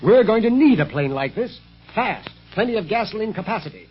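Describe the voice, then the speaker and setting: A man's voice: high voice, lively intonation, brisk, soft background music. A man playing a level-headed secret police agent in a 1930s radio serial, earnest and clipped. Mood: confident, urgent. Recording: muffled old 1930s radio recording.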